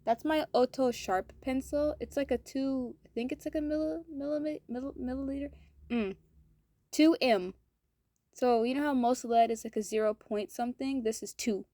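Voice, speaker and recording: A woman art vlogger with a slightly raspy, hoarse voice, chatting casually in a voice-over and rambling with lots of "like" fillers. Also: fairly high pitch, dark tone, no echo. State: throat hoarse from cold air.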